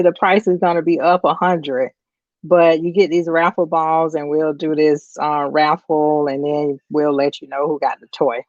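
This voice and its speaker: Woman guest reviewer, mid-pitch, chatty filler-heavy recap, dry and dull audio. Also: no echo. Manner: chatty retelling with many fillers like "like". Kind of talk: casual chat.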